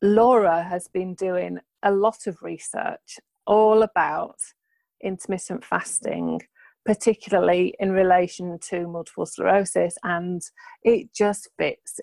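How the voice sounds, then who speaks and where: A woman's voice: lowish voice, fairly even intonation, unhurried, vocal fry, no room sound. A woman hosting a podcast, introducing and interviewing her guest with eager enthusiasm. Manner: enthusiastic, warm thanks. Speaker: podcast host.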